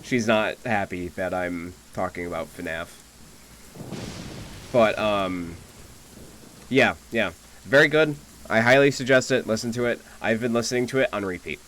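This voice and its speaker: A young man chatting casually on a game livestream, thinking aloud in a halting way. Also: fairly low pitch, fairly bright tone, a little hiss or hum. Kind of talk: casual stream chat. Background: game music.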